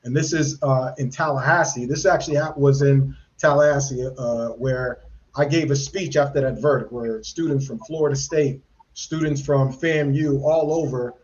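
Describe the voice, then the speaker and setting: A man's voice: mid-range voice, fairly even intonation, normal speed, muffled recording. A man hosting a live podcast interview, asking earnest, rambling questions with many fillers and restarts. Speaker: podcast host.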